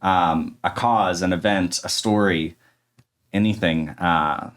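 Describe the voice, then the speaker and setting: A man's voice: deep voice, slow, fairly bright tone. A young man hosting a podcast interview, speaking thoughtfully with frequent restarts and hesitations. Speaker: podcast host. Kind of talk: casual interview conversation.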